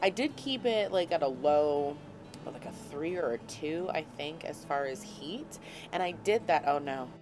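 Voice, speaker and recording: A woman hosting a casual cooking vlog from a small RV, slightly hoarse and scratchy from a cold, chatty and laughing at her own clumsiness. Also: sits low, lively intonation, normal speed, over music. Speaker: vlog host. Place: small RV kitchen. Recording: noticeable background noise.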